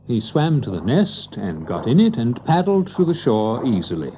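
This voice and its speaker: A man narrating a scripted children's story in an expressive storytelling manner. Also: mid-range voice, normal speed, dull audio, a little hiss or hum.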